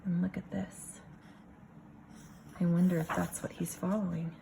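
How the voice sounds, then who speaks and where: Slow-paced woman reading a storybook aloud. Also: on the low side, creaky, a little hiss or hum, low-quality recording. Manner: expressive, pausing to comment and wonder aloud. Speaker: kindergarten teacher reading a picture book aloud. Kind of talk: storybook read-aloud with casual asides to children.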